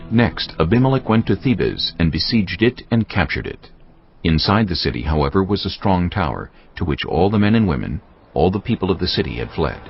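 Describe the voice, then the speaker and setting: A man's voice: deep voice, creaky. A man narrating a dramatised audio Bible in a solemn storytelling manner. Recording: muffled, band-limited audio with music. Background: music bed.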